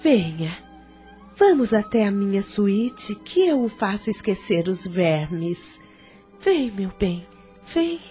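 A woman's voice: mid-range voice, big pitch swings, slow, dark tone. A Brazilian actress playing a worldly, flirtatious female ghost in a radio drama, coaxing and teasing. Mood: seductive. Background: background music.

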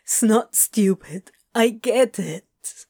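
A woman playing a fierce, protective parent, slow and emphatic. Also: medium pitch, dark tone, breathy edge. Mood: gentle. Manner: emphatic, sweary, repeats words. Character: fierce, protective parent, gruff but tender, emotional.

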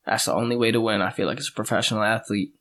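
Male podcast co-host, mid-pitched, rapid casual banter. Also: steady tone, fairly bright tone. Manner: quick, swearing, joking. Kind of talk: casual chat.

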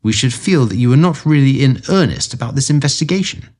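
A man reading aloud voices a sharp, authoritative detective with a dry wit. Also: medium pitch, animated, fast, pitched above the performer's own voice, natural voice. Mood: commanding. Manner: crisp, authoritative. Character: sharp, commanding male detective, dry humor.

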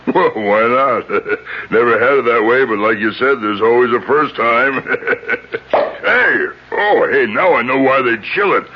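An actor playing a smug, boorish, leering captor who drawls slangily and chuckles, in a 1970s radio drama. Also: male voice, medium pitch, slow, a little hiss or hum, close to an everyday voice. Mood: boisterous. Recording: muffled 1970s radio recording.

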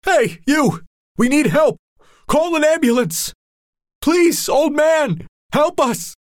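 A man voicing a wounded young man who shouts desperately for help. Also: very high-pitched, expressive, slow pace, no room sound, studio quality, voice pushed up, full character voice.

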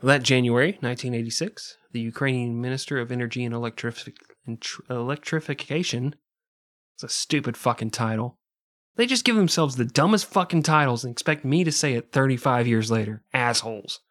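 A man with an ordinary middle-pitched voice narrating history for a podcast audience. He swears freely, cracks jokes between facts and keeps the pace loose and conversational. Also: fairly quick, a bit bright. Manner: profane, jokey, laughs often. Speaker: podcast host. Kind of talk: comedic history storytelling.

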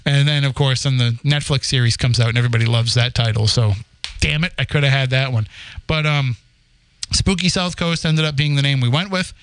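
A man's voice: medium pitch, fairly quick, a bit bright, clean tone, dry recording. A man in his forties, originally from the Boston area, hosting a podcast and telling its story in a chatty, rambling way. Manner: storytelling, chatty with frequent restarts and laughs. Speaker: podcast host. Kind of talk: casual chat.

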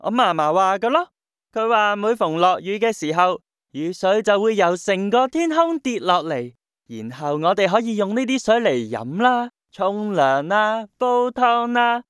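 Clear, curious little Cantonese boy, played by a woman. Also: medium pitch, animated, a little slow, dry recording, studio quality, lightly acted. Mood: eager, earnest. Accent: Hong Kong Cantonese. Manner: childlike, inquisitive. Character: small curious wide-eyed grandson, innocent and eager. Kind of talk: radio drama acting.

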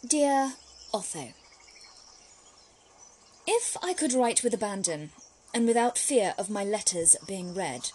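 A woman playing an anxious, spirited young Victorian gentlewoman, confiding in her brother through letters in a formal, genteel and expressive dramatic monologue. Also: medium pitch, slow, long pauses, breathy edge, exaggerated voice. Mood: guarded, intimate.

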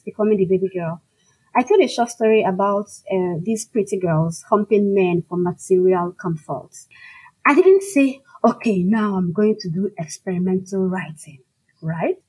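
Nigerian lady, igbo first language, bit husky, talking emphatically like a writer in an interview. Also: mid-range voice, moderate pace, mellow, rough recording. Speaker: fiction writer being interviewed. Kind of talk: conversational, reflective interview answers.

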